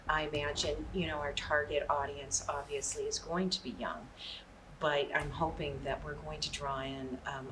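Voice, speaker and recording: A middle-aged woman giving reflective interview answers as a theatre director. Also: low-pitched. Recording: distant mic, clearly noisy room.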